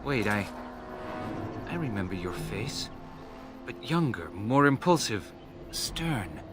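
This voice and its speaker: An actor playing a gentle, reserved young elf in a game, speaking in a measured, earnest way. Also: male voice, lowish voice, sing-song, music in the background. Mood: recalling. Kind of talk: dramatic game cutscene acting.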